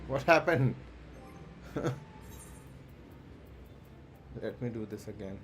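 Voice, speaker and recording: A man's voice: medium pitch, expressive, extremely slow, a little hiss or hum. A man streaming a game, thinking aloud in a hesitant, muttering way as he plays. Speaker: live streamer. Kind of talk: casual gameplay commentary. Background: faint game music.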